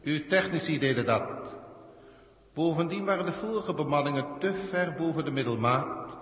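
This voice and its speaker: Haughty alien official in cavernous reverb. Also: male voice, fairly high pitch, expressive, moderate pace, fairly bright tone. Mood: cold, superior. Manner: formal, pompous, condescending, archaic wording. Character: haughty alien proctor, cold galactic official testing Earth. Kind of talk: 1960s science-fiction radio drama acting. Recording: echoey hall-like reverb, 1960s radio.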